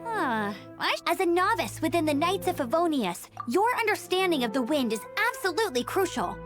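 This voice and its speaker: A woman voicing an energetic, confident and earnest young female knight scout in a video game. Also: extremely high pitch, brisk. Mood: confident, upbeat. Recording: loud game music underneath. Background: game music.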